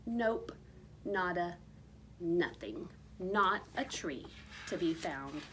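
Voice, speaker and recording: Female storybook reader, unhurried and highly varied in pitch, with theatrical emphasis. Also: lively intonation, noisy background. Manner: theatrical, drawn-out emphasis on repeated words. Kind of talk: expressive picture-book read-aloud.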